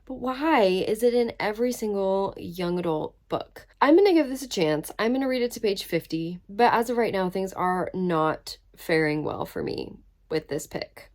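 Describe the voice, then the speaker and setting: A woman's voice: mid-range voice, lively intonation, moderate pace, darkish tone. A young woman in her twenties with a cold, congested and coughing, chatting emphatically in a casual reading vlog. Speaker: book vlogger.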